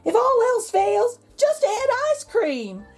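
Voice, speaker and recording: A woman voicing an excitable, cheerful and wise aunt in a children's storybook, calling out her lines. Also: very high voice, slow, faint music behind, voice pushed up, exaggerated voice. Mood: encouraging. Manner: exclamatory, calling out. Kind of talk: children's storybook read-aloud.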